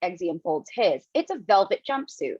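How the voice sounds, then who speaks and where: A woman's voice: on the low side, sing-song, normal speed, a little mellow, husky, dry recording. A woman narrating stage directions for an online table read, reading plainly and dropping the odd casual aside. Her mic sounds dull and dry.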